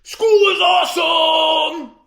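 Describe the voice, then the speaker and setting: A reader voicing a loud, wild, exuberant boy shark in a children's storybook. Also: extremely high pitch, fairly even intonation, takes it slowly, vocal fry, roomy sound, some chatter behind, voice pushed up, heavily acted. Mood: exuberant, shouting. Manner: shouting, bellowing enthusiasm. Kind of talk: animated children's storybook read-aloud.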